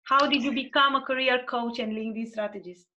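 A woman from Romania, hosting an online interview and speaking haltingly with many restarts. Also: a little high, steady tone, sharp tone, dull audio. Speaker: podcast host.

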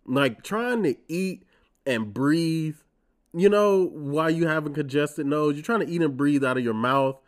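A man's voice: fairly high pitch, measured pace. A man in his twenties, congested from a cold, hosting his own podcast in a wry, profane, ranting casual monologue. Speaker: podcast host.

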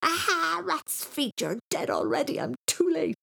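Storybook little boy, played by a woman: high, husky, jumpy, cheeky, quick to protest. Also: animated, darkish tone. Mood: panicked, shouting. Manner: breathless exclamations, stammering and indignant. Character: frightened, excitable, cheeky little boy in pyjamas. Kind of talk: children's story reading.

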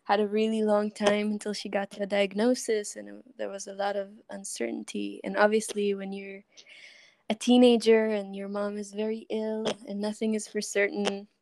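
A woman in her twenties, a singer-songwriter guest, speaking gently and thoughtfully in a soft voice. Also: mid-range voice, level tone, normal speed, vocal fry. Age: 20s. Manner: gentle, thoughtful, laughs often. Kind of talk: casual interview chat.

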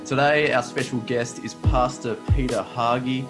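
A man's voice: mid-range voice, steady tone, moderate pace, over music. A man with an Australian accent hosting a podcast interview in an encouraging, welcoming, conversational way. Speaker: podcast host, church planter.

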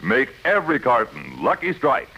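A man delivering a 1950s radio advertising pitch with an emphatic, polished sales delivery. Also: animated, normal speed, creaky, very noisy. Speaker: commercial announcer. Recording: dull vintage radio broadcast.

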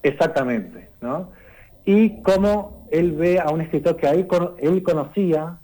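A man, giving a conversational radio literature column and explaining things unhurriedly, often pausing on "eh" and tagging his sentences with "¿no?". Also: a little high, warm tone, poor audio. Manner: unhurried and explanatory, tags lines with "¿no?" and "eh".

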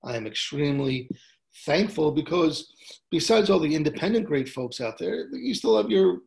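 A man with a gravelly voice, a songwriter chatting casually as a guest, telling rambling stories at home. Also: a little high, moderate pace. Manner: relaxed, rambling storytelling. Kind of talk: casual chat. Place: at home with guitar.

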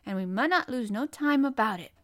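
A woman voicing a cheerful country boy with a broad Yorkshire accent and a slow rustic drawl. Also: on the high side, animated, fairly bright tone, voice pushed up. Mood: enthusiastic.

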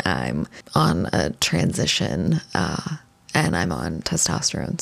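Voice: mid-range voice, gravelly. A podcast host talking casually on their own, rambling with lots of fillers and restarts. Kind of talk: casual solo podcast monologue.

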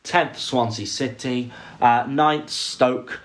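A man's voice: medium pitch, fairly even intonation. Opinionated man in casual podcast chat. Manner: opinionated, quick and talkative, trails into asides. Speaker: podcast co-host.

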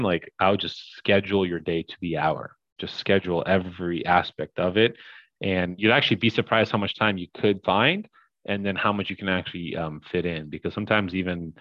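Deep-voiced young man rambling casually. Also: dry recording, highs cut off. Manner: rambling, filler-heavy, upbeat. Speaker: podcast guest, crypto investor. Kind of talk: casual podcast chat.

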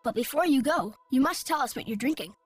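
Squeaky little forest creatures. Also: male voice, animated, fast, breathy edge, dry recording, soft background music, heavily acted. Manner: squeaky, prim and chattering. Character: tiny fluffy gray tree creatures, proud, clever.

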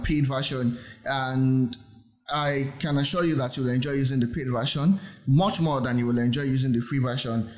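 A man's voice: a little high, darkish tone. A man with a Nigerian accent presenting an informal tutorial, explaining in a conversational, reassuring way and repeating points for emphasis. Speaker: host presenting software reviews. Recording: roomy, echoing sound.

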